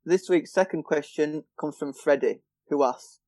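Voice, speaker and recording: A man's voice: fairly high pitch, a little slow, a little mellow, dry recording. A man hosting a fan podcast, steadily reading listener questions from a script. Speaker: podcast host.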